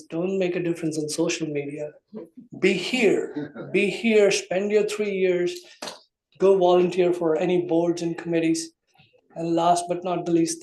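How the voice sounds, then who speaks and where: A man's voice: high voice, darkish tone, roomy sound, low-quality recording. A man giving a heartfelt farewell speech as a departing member of a township committee, earnest and thankful. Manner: earnest, thankful, plain-spoken. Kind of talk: farewell speech at a public meeting. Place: township meeting room.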